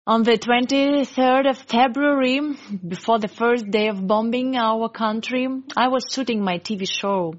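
A woman, a Russian speaker, telling her story in earnest, halting English in an interview. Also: fairly high pitch, lo-fi sound. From Ukraine. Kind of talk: emotional interview.

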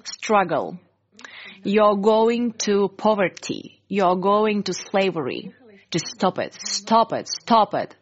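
A woman, a Russian speaker, telling her story in earnest, halting English in an interview. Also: medium pitch, expressive, lo-fi sound. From Ukraine. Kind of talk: emotional interview.

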